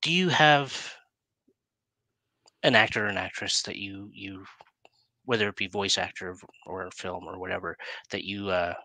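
A man's voice: low voice, moderate pace, long pauses, sharp tone, no echo. A middle-aged man hosting a casual interview over a headset, asking questions in a rambling, informal way. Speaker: interview show host. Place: plain indoor room, video call.

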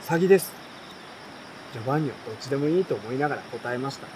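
A man reading a storybook aloud in a relaxed, unhurried way, pausing between phrases. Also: medium pitch. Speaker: reader. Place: campsite outdoors by a fire and stream. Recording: outdoor night crickets and campfire noise. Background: insects, crackling fire, stream.

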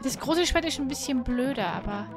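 A woman's voice: sits high, expressive, brisk. A woman streamer chatting casually while she plays a video game. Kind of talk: casual gameplay chat. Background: game music.

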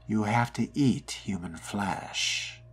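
Man playing a deep, slow, wry vampire. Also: slightly rough, faint music behind. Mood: questioning. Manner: musing aloud, dry, theatrical, deliberate phrasing. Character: wry, curious male vampire fledgling on the hunt. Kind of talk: in-character video game roleplay narration.

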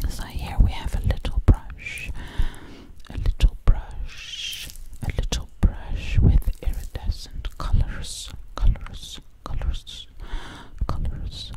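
A woman whispering breathily and soothingly, close to the microphone in ASMR style, repeating words over and over. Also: mellow. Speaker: ASMR creator.

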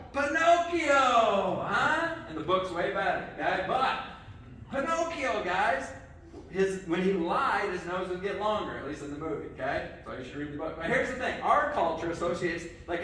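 A man's voice: high voice, a little hiss or hum. A middle-aged man giving a children's sermon, asking the kids questions and checking in with "okay" as he goes. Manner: lively, asks the kids questions, says okay often. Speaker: pastor. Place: church sanctuary with congregation. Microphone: far camera. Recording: echoey church from far off.

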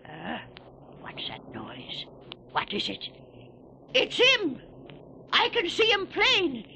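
A cackling half-mad old lighthouse keeper. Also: female voice, medium pitch, big pitch swings, slow, fairly bright tone, a little hiss or hum, heavily acted. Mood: panicked. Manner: cackling, rustic folksy grammar, theatrical. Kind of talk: spooky storybook record acting. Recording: dull lo-fi record with music behind.

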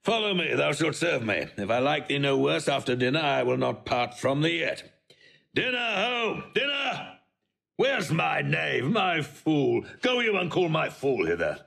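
A man's voice: a little high, big pitch swings, measured pace, gravelly, fairly bright tone, full character voice. An actor playing an irascible old king in a Shakespearean audio drama, commanding, theatrical and volatile. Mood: imperious, impatient.

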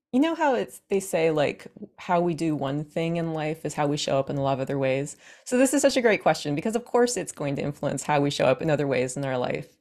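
A woman's voice: deep voice, darkish tone. A woman in her thirties, a coach, explaining her field in an interview over a video call from her living room, using everyday comparisons. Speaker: attachment coach, guest. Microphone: webcam on a video call.

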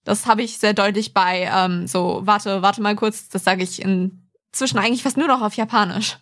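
A woman's voice: medium pitch, fairly even intonation, normal speed, fairly bright tone. A young woman co-hosting a podcast, speaking in an informal, frank, chatty way. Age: young adult. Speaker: podcast host. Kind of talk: casual podcast chat.

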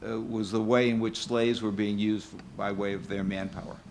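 A man's voice: on the low side, completely flat delivery, moderate pace, darkish tone, slight background noise. An older man speaking off the cuff on a panel, explaining point by point with some hesitation. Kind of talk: extemporaneous panel discussion. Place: auditorium panel table.

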